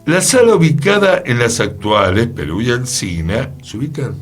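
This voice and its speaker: Animated Buenos Aires man hosting radio. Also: mid-range voice, normal speed, faint music behind. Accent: Argentine, Buenos Aires. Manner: chatty, storytelling, poses questions to co-host. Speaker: radio host. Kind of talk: conversational radio presenting about theatre history.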